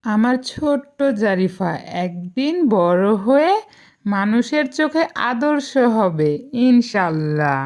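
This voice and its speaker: A woman's voice: mid-range voice, expressive, a little mellow. A woman voicing a loving, devout mother with a tender manner in an Islamic moral cartoon. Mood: loving, proud. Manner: tender, unhurried.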